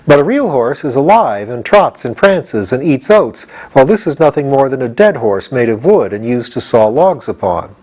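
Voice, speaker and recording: A man's voice: mid-range voice, big pitch swings, normal speed, hardly any pauses, darkish tone. A man voicing an earnest, practical young boy in a storybook, explaining things matter-of-factly with a touch of impatience.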